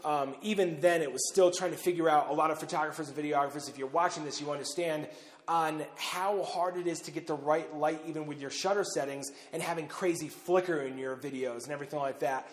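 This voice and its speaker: Man talking in a booming underground silo. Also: fairly quick. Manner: conversational, loose, with frequent "uh" and "pretty much". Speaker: bunker owner and host. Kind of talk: casual talk to camera. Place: large underground concrete silo tunnel. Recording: long reverb of an underground silo.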